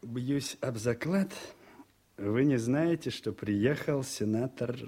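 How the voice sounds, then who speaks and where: An actor playing a gossipy court clerk in a radio drama, talking in a familiar, insinuating way. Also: male voice, medium pitch, slow pace, mellow, slightly rough. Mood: gossipy, conspiratorial. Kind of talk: Soviet-era radio drama acting.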